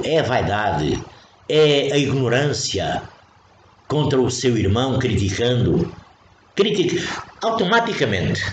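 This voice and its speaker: A man from Portugal with a European Portuguese accent, giving a spontaneous spiritual talk, emphatic and repetitive, often restarting phrases. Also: medium pitch. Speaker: spiritual preacher. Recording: echoey room with faint noise.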